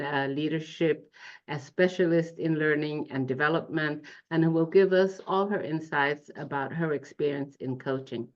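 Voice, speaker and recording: A woman's voice: sits low, darkish tone. A woman hosting an interview, politely introducing her guest and asking questions. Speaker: interviewer and host. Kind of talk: hosted interview. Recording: dull lo-fi sound.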